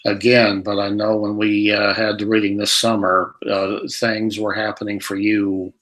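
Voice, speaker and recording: A man's voice: on the low side, completely flat delivery, moderate pace, a bit bright, poor audio. A man co-hosting a psychic call-in show, reading out listeners' questions with many hesitant fillers. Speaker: radio show co-host relaying caller questions.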